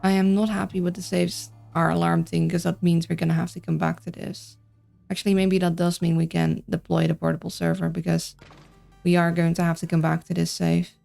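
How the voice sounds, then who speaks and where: Low, gravelly Dutch-accented woman streamer. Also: flat delivery, fairly quick, dark tone, faint music behind. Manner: chatty, reads and answers chat, thinks aloud. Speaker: game streamer. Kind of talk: casual livestream chat while playing.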